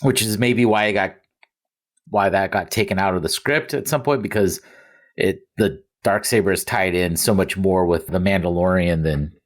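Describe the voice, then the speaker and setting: A man with a slightly low voice chats as a podcast co-host. His delivery is casual and halting, and he often corrects himself mid-thought. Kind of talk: casual fan discussion.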